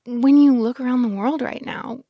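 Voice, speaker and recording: A woman's voice: high voice, fairly even intonation, moderate pace, dry recording, lo-fi sound. A woman speaking as a guest in a conversational interview, explaining her ideas in a reflective, emphatic way. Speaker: interview guest, science storytelling advocate.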